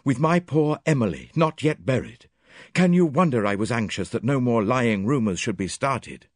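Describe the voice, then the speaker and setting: A man voicing an agitated, self-pitying widowed gentleman who speaks in an aggrieved tone. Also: mid-range voice, big pitch swings, normal speed, dry recording, clean studio sound, voice pushed up.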